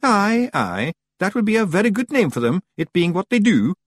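Excitable high-pitched little Scottish fairy clansman. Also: moderate pace, no room sound, studio quality. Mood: eager, explaining. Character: excitable little clan leader, nervous, wheedling, boastful male fairy creature. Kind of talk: audiobook character voice.

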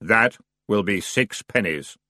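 A man voicing a grumpy ferryman of the dead who talks slowly and wearily. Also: fairly low pitch, lively intonation, sharp tone, dry recording, studio quality, full character voice.

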